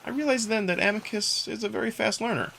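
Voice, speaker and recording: A man reading scripted first-person narration from a visual novel in an expressive storytelling manner. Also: high-pitched, fast talker, vocal fry, a little hiss or hum. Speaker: narrator and voice performer.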